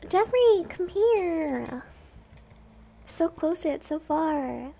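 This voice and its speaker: Female game streamer, high soft-edged voice, unhurried, playful and self-deprecating chatter. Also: animated, gravelly. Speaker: streamer playing a video game. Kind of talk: casual gameplay commentary.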